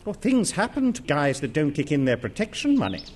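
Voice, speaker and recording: A man's voice: a little high, big pitch swings, a little fast, darkish tone, a little hiss or hum. An actor playing a repulsive, cheeky young boy with a blunt, sullen manner in a comic audio drama. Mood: blunt, demanding. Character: repulsive, cheeky boy demanding protection money.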